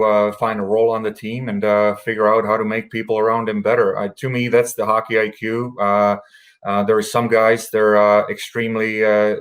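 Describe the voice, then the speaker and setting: A man from the Czech Republic speaks in a low, monotone voice that barely rises or falls. He answers interview questions haltingly, filling pauses with frequent hesitations. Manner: halting, many uh fillers. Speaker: hockey executive guest.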